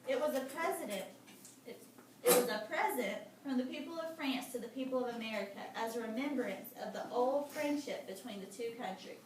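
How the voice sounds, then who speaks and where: High-voiced woman teacher, echoey noisy room. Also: fairly quick, far from the mic. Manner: instructive, breaks off reading to explain and question. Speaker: teacher reading aloud to children. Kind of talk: read-aloud lesson with prompting questions.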